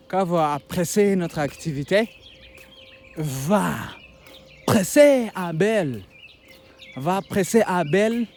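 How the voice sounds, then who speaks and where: Halting Rwandan-accented man, raised pitch. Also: a little mellow, slight background noise. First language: Kinyarwanda. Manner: halting, with many restarts and hesitations, pleading. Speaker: YouTube content creator. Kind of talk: casual vlog talk to viewers. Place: outdoors by a road with passing buses.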